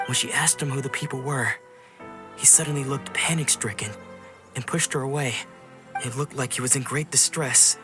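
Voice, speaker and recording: A man's voice: medium pitch, close to an everyday voice. A man playing an earnest, reassuring young sword disciple in a video game, polite and explanatory.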